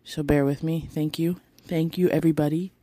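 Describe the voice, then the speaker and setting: A woman hosting a rambling solo podcast on her phone, sounding tired, with a raspy, deep voice and a chatty, playfully flirty way of talking, apologizing again and again. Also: steady tone, brisk, warm tone, no echo. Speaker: podcast host. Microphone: phone held close.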